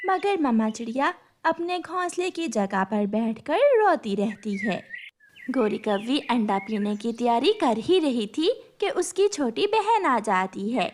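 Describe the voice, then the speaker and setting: Children's story narrator: woman, fairly high, very animated delivery. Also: a little slow, a little hiss or hum.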